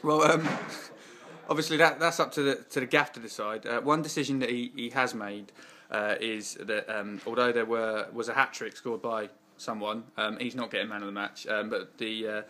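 Bright-voiced English man interviewing. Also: mid-range voice, fairly even intonation. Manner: halting, many fillers, teasing. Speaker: club TV interviewer. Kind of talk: jokey post-match interview.

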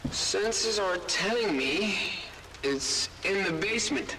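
A man voicing a calm male overseer who talks to the player over comms, in a dry, wry manner, heard as distant game audio with an echo. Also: sits high, takes it slowly, heavily acted. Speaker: mission guide over comms. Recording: distant echoey game audio, ambient hum.

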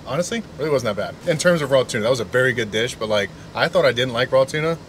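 A man's voice: medium pitch, expressive, very fast, a bit bright, a little hiss or hum. A young man in his twenties hosting a casual vlog from inside a camper van, talking into a handheld action camera and sounding eager and easily impressed. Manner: enthusiastic, easily impressed, laughs often. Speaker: vlogger host. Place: inside a camper van kitchen, parked outdoors. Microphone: action camera held at arm's length.

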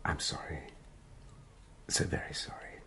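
An actor playing a gentle, loyal, bookish friend at a sickbed, speaking softly and soothingly in a radio drama. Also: male voice, whispered. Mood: sorrowful, gentle. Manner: soft, soothing, measured bedside delivery.

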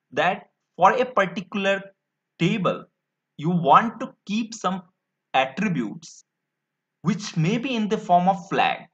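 A man's voice: sits high, fairly bright tone. A man gives a slide lecture, explaining step by step with many fillers and restarts. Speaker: instructor. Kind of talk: screen-recorded slide lecture. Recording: dull lo-fi audio.

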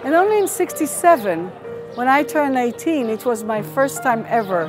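Enthusiastic Israeli woman in her 60s, slightly high voice, outdoor piece to camera over music. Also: animated, moderate pace. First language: Hebrew. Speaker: presenter. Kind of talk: on-location talk to camera. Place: outdoors in a large city square. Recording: clear background music.

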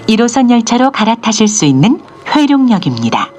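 Woman, formal transit announcer, lively melodic pitch, onboard speaker with faint chimes. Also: brisk, soft background music. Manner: formal, polite, evenly paced. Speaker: train announcer. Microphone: recorded on a handheld device inside the train.

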